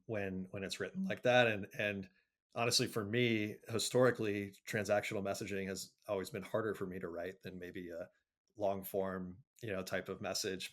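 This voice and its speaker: Low-pitched man giving considered interview answers. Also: fairly bright tone. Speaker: interview guest, marketing agency executive.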